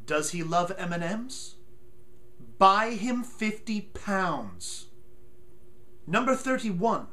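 A man in his thirties reads aloud in a mock-solemn, theatrical way. His voice sits high for a man and swoops widely in pitch. He takes his time, leaning on deliberate, emphatic pauses. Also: a bit bright. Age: 30s. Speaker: comic performer reading aloud. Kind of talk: mock-solemn dramatic reading from a book. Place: small furnished room at home, indoors.

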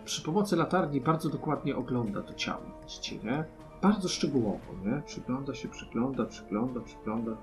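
A man runs a tabletop role-playing game, narrating as he improvises. His voice is mid-pitched, with faint instrumental music playing behind it. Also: expressive, a little fast. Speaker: game master.

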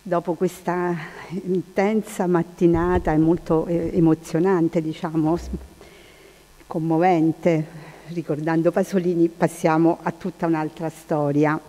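A woman's voice: fairly low pitch, flat delivery, a little slow, mellow. A woman giving an informal lecture in a large hall, often searching for her words. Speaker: conference speaker. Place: large hall, seated at panel table. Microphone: table microphone. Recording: long hall reverb.

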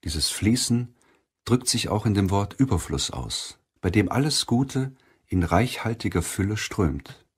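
Low-pitched man narrating an audiobook. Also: expressive, measured pace, breathy edge. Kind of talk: scripted audiobook narration of a self-help book.

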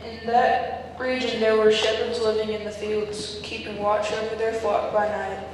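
A woman reading scripture aloud in a steady, measured way during a church service. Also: a little high, slight background noise. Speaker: scripture reader. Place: large church sanctuary. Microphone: far camcorder mic. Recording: echoey amateur camcorder audio from far off.

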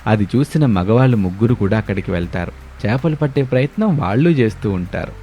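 A man narrating an animated moral tale as a scripted storyteller. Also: on the low side, fast talker, a little hiss or hum.

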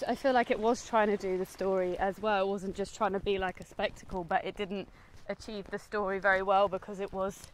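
A woman's voice: mid-range voice, rough recording. A woman, casually chatting through a film review while walking outside. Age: 20s. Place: outdoors, walking on a woodland path. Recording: outdoor ambient noise.

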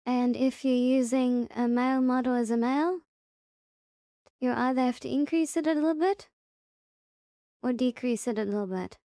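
A woman with a high, mellow voice narrates a casual tutorial. She goes through it step by step without hurry, sometimes thinking aloud. The sound is close and dry. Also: moderate pace, long pauses, no room sound. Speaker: tutorial presenter.

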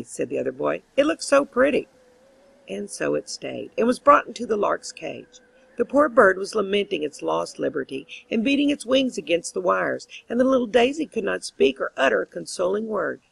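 A woman reading a fairy tale aloud as an audiobook, in expressive, measured storytelling. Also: mid-range voice, vocal fry, husky, dry recording, rough recording. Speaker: audiobook reader.

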